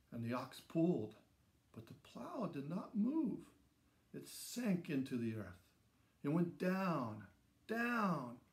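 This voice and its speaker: A man in his sixties reading a children's story aloud in simple, measured phrases with dramatic emphasis. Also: fairly high pitch. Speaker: storybook reader. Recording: roomy echo around the voice.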